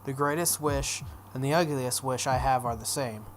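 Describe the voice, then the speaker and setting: A man's voice: medium pitch, moderate pace. Man reading aloud, noticeably noisy recording. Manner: stumbles over written text. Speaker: let's player reading a visual novel aloud. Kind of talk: narrated read-aloud with casual asides. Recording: noticeable background noise.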